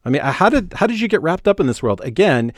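A man's voice: high voice, very animated, quick pace, dry recording, clean studio sound. A man co-hosting a podcast, talking casually and analytically in an explanatory, matter-of-fact way. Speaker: podcast co-host. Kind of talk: casual analytical talk about radio business.

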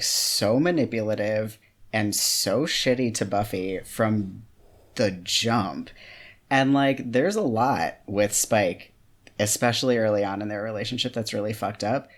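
A podcast co-host in a casual book discussion, talking in an earnest, opinionated way and saying "like" a lot. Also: medium pitch. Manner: earnest, opinionated, heavy use of "like".

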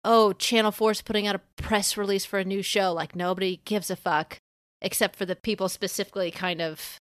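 A woman's voice: mid-range voice, fairly even intonation, fairly quick, no echo. Casual woman co-host, dry close sound. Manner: rambling, thinking aloud, hedged. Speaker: podcast co-host. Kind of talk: casual discussion.

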